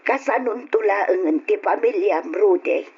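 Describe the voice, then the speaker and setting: An actress playing an older, concerned mother pleading with a grown son in an old radio drama, husky-voiced. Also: medium pitch, animated, unhurried, a little mellow, dull audio, slight background noise.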